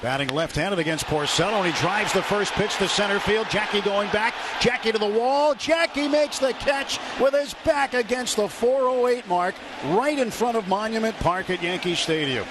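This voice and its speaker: A man with an American accent calls a baseball game live on radio. His voice sits a little high, and he describes the action in clipped phrases. There is clear ballpark noise behind him. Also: moderate pace, hardly any pauses. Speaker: baseball play-by-play radio announcer. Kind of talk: live sports commentary. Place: outdoor ballpark broadcast booth.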